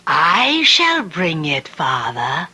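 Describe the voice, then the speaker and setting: An actress playing a sinister, commanding peasant woman in a radio drama, speaking in a hushed, deliberate, dramatic manner. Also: sits low, wildly swooping pitch, slightly rough, exaggerated voice. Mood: sly, deferential. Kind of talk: old-time radio drama acting. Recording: noisy old radio drama recording.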